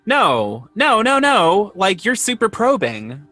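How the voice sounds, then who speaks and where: Casting: man to play an anxious, guarded male lead, hesitant and natural, clear-edged bright tone, music in the mix. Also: sits high, wildly swooping pitch, full character voice. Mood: defensive. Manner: hesitant, halting, self-conscious. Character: anxious, defensive man confiding about his panic. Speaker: podcast host playing himself in audio drama. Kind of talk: naturalistic conversational audio drama acting.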